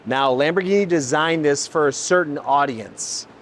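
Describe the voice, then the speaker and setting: A man's voice: fairly high pitch, moderate pace, very noisy. A man hosting an automotive video, presenting and explaining in an emphatic, enthusiastic way. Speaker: host, exhaust shop builder. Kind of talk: presenting car exhaust comparison, part scripted, part explanatory.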